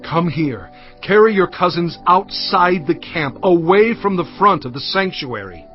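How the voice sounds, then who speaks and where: A man's voice: high voice, expressive, unhurried, dull audio. A man playing a stern, commanding prophet in a dramatised audio Bible, speaking in a solemn, emphatic, declamatory way. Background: background music.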